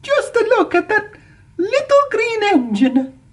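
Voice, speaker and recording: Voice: sing-song, takes it slowly, bright, forceful tone, distant mic, exaggerated voice. A shrieking diva singer. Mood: gushing. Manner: shrill, dramatic. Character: grand shrieking female opera singer. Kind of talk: storybook character voice.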